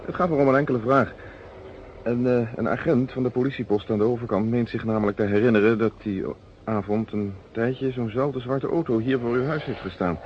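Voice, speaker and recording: An actor playing a shrewd, self-assured police inspector in a radio play, measured, polite and casually probing. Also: male voice, medium pitch, close to an everyday voice. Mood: disarmingly polite. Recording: dull, noisy old radio recording.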